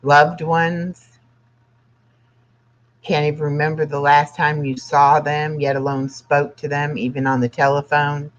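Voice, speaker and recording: A sixty-year-old livestream host chatting casually and reading aloud in a plainspoken, halting way, with pauses between phrases. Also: medium pitch, level tone. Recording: dull lo-fi livestream audio.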